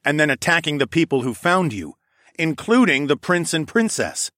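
A man's voice: on the high side, big pitch swings, moderate pace, bright, forceful tone, no room sound, studio quality, voice pushed up. A man voicing a confident, smirking lord who is the hero of a fantasy audiobook. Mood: smug, assertive.

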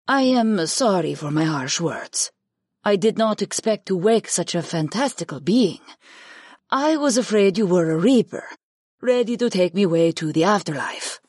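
A woman's voice: mid-range voice, lively intonation, normal speed, a little mellow, breathy edge, dry recording, clean studio sound. A woman voicing a wary, earnest young female hawk shapeshifter in an audiobook, with careful formal phrasing. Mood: apologetic, grateful. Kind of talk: audiobook character dialogue.

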